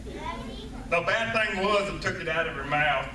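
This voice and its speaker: A man voicing an excitable boy puppet. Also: fairly high pitch, a little slow, crowd noise. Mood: excited. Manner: eager, rambling, childlike. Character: excitable boy puppet eager for Christmas presents. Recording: echoey sanctuary, distant camera, noise.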